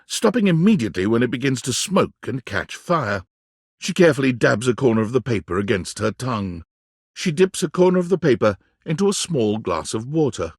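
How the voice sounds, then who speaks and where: Man narrating with a lively voice. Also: mid-range voice, breathy edge, no echo, clean studio sound. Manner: wry, storybook-style delivery. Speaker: game narrator. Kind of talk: scripted second-person narration.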